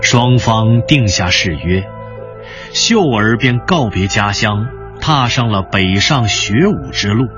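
A man reads dramatic narration like a solemn storyteller. His delivery is slow and measured yet widely inflected, over clear background music, in a slightly muffled recording. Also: fairly low pitch, animated. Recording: muffled lo-fi, music bed behind.

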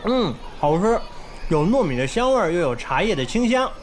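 Man as eager young city teacher, lively intonation. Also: high voice, normal speed, a faint crowd, slight background noise. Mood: pleased. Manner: polite, earnest. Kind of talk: Chinese radio drama acting. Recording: music bed behind the voice.

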